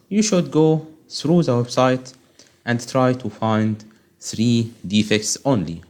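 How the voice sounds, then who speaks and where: A man's voice: medium pitch, darkish tone. A man with an Arabic accent, a native Arabic speaker, giving an informal step-by-step screen tutorial as an online instructor, often checking in with "okay?".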